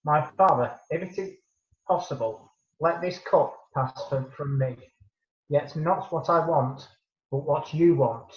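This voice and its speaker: Guy doing a solemn sad holy teacher in a bible play, measured, roomy muffled sound. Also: on the high side, lightly acted. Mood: grieving, prayerful. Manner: solemn, measured scripture delivery. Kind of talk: dramatised Bible reading. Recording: echoey room, dull lo-fi sound.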